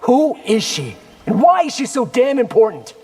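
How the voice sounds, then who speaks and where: Lively, wry young ninja. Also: male voice, extremely high pitch, moderate pace, slightly rough, soft background music, exaggerated voice. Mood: puzzled banter. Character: young male ninja warrior, wry, defiant and earnest. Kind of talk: video game voice acting.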